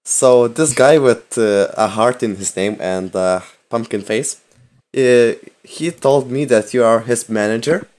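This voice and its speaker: A man trolling strangers in game voice chat, deadpan and mock-indignant, talking into a headset mic. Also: mid-range voice, measured pace. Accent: put-on Russian. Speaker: prank-style player in voice chat.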